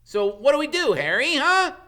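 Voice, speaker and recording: A man voicing an eager, blunt schoolboy friend in an animated, informal way. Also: very high-pitched, normal speed, pitched above the performer's own voice, exaggerated voice. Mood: excited.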